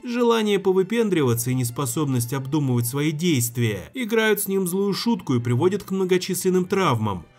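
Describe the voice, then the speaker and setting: A man's voice: on the high side, big pitch swings, brisk, hardly any pauses. A man narrating a scripted explainer with playful, wry phrasing. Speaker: video narrator. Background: background music.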